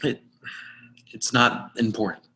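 A man playing a nervous, lovestruck guy in a play reading, speaking in a halting, earnest way. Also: medium pitch, level tone, bright, forceful tone, low-quality recording. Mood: hesitant, evasive. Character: Sam, nervous lovestruck man confessing to a friend. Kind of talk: readers theatre play reading.

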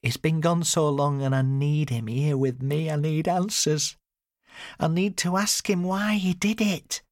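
A man's voice: fairly high pitch, a little slow, dry recording, studio quality, voice pushed up, lightly acted. A man voicing an earnest, caring grown daughter with a direct, pleading manner in an audiobook. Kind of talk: audiobook character dialogue.

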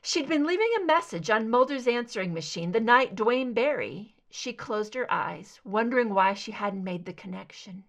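A woman's voice: a little high, expressive, moderate pace, creaky, few pauses. A woman reading a scripted story aloud as an amateur audiobook narrator.

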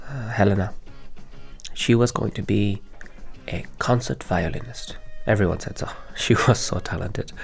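An actor playing a guarded, earnest young East German activist in a radio drama, speaking in an intense, halting way. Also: male voice, on the low side, creaky.

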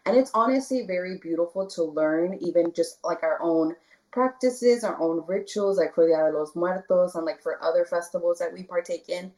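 A woman from the Rio Grande Valley in Texas, a guest talking casually in an interview, soft-spoken with many fillers and restarts. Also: lowish voice, moderate pace, low-quality recording. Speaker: guest, spiritual candle maker.

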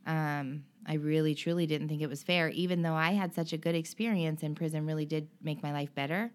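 A woman guest in an interview, speaking earnestly and emotionally about her own experience, with frequent pauses and restarts. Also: low voice, fairly even intonation. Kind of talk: candid personal interview.

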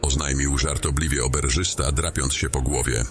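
A man narrating a fantasy audio drama in a measured storytelling way. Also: very deep voice, fairly even intonation, faint music behind. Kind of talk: scripted narration.

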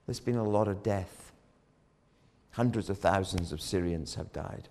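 A man preaches with a dramatic storytelling delivery, swelling louder on key phrases before sinking back down. His voice sits low and he takes his time, letting words hang. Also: measured pace, creaky. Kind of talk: sermon storytelling.

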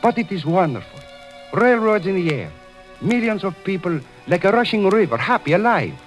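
A man's voice: high voice, very animated, moderate pace, faint music behind. An actor playing an earnest Syrian immigrant man in a 1930s radio drama, speaking with an Arabic accent in a solemn, declamatory way. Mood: wondering. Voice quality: heavy put-on accent, solemn declamatory delivery.

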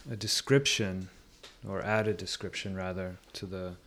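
A man with a fairly low voice talks through ideas in a conversational way. He is reflective and often halting, pausing to search for the right words. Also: flat delivery, a bit bright, slight background noise. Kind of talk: thoughtful conversational discussion.

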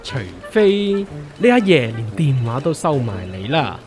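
A woman as a low, animated, teasing co-worker. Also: a little slow, soft background music. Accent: Hong Kong Cantonese. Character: blunt, teasing co-worker friend with playful exaggeration. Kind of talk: comedic audio drama acting.

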